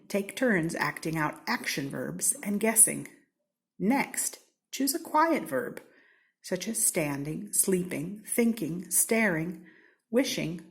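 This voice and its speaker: An American woman from Seattle reading a children's storybook aloud in an expressive, theatrical way, with deliberate, playful emphasis. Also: fairly low pitch, vocal fry. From Seattle, United States. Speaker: storybook reader.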